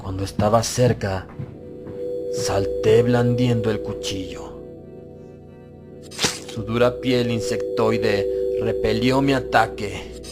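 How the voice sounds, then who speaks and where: A man's voice: lowish voice, steady tone, slow pace, long pauses. A man reading a horror audiobook as a dramatic, grave, suspenseful first-person narration. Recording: loud background music under the voice. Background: loud music.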